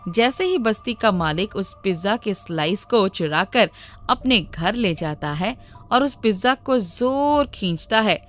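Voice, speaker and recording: A woman reading a scripted children's moral story as its narrator. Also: mid-range voice.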